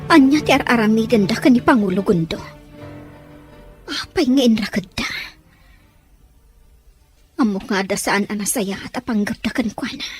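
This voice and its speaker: A woman plays a chief's kind young daughter in a drama. Her voice is high, with an earnest, plaintive delivery. Faint music sits under the dialogue. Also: moderate pace, long pauses, slightly rough. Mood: distressed, indignant.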